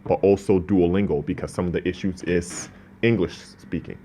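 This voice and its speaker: A man's voice: sits low, fairly even intonation, moderate pace, mellow, a little hiss or hum. A man born in Ghana, speaking as a business owner in an interview, earnest and prone to restarts. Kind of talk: casual interview talk.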